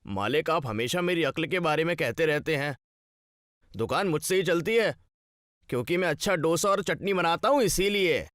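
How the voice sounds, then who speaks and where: A man playing an honest, hardworking village cook with an earnest manner in an animated story. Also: on the high side, normal speed, dry recording, clean studio sound. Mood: indignant. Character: honest, hardworking young male dosa cook. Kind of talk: animated moral story dialogue in Hindi. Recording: music behind the voice. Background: background music.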